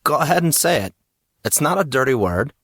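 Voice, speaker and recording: A man's voice: a little high, sing-song, a little fast, fairly bright tone, no room sound, clean studio sound, pitched above the performer's own voice. A man voicing a cocky teenage boy who needles his friend in slang, in an audio story. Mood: teasing.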